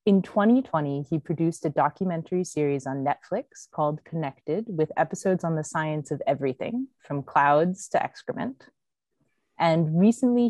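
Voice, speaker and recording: A woman's voice: low-pitched, mellow, dry recording. A young woman reading a scripted speaker introduction at an event. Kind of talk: scripted introduction read aloud.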